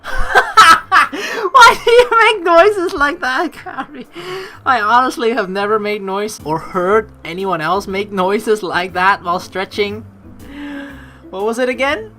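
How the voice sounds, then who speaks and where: A woman's voice: on the high side, lively intonation, slow, sharp tone. A woman with a playful, teasing manner, commentating on a game and reading its story text aloud. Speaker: let's player. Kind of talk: gaming commentary mixed with reading visual novel text aloud.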